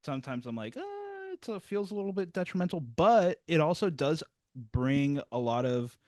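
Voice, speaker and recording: A man's voice: a little high, lively intonation, moderate pace, a little mellow, no echo. Rambling man hosting music chat. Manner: rambling, many restarts, self-deprecating. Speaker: music review host. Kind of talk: casual interview chat. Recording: dry, close sound.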